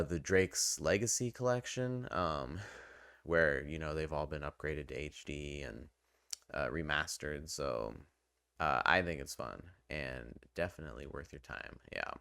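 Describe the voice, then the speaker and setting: A man's voice: very deep voice, normal speed, creaky, a bit bright, clear, resonant tone, no echo, clean studio sound. A man giving casual, rambling game review commentary in a conversational, enthusiastic way. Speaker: gaming video host and reviewer.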